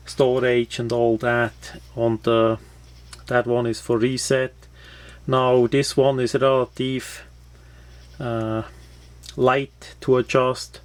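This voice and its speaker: A man giving a casual, unscripted product walkthrough in halting, phrasing. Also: medium pitch, a little mellow. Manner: halting, non-native English phrasing. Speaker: product reviewer.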